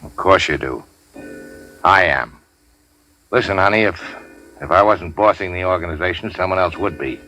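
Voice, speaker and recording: An actor playing a tough, cynical racketeer boss in a 1940s radio crime drama, with clipped, slangy, sardonic delivery. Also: male voice, deep voice, moderate pace, pauses often, sharp tone, soft background music, poor audio. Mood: cynical, needling. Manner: hard-boiled, slangy, clipped. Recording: dry 1940s radio recording.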